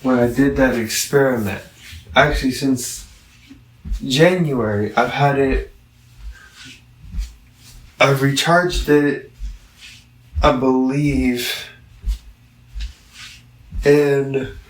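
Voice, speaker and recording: A man giving a casual, rambling product review, halting and correcting himself as he thinks aloud. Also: medium pitch, fairly even intonation. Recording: roomy, echoing, distant from the mic.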